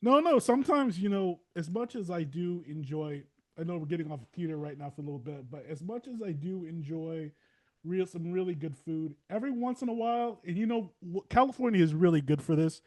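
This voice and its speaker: An American man hosting a casual interview, rambling along and repeating phrases for emphasis. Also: sits high. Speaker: podcast host.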